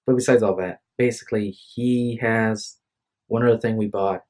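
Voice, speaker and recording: A man's voice: fairly low pitch, fairly even intonation, moderate pace, darkish tone. A tired young man talking casually into his phone at home, rambling and apologetic, often restarting his sentences. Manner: hesitant, apologetic, restarts a lot. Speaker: vlogger. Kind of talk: rambling casual vlog to camera. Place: room at home. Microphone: phone microphone.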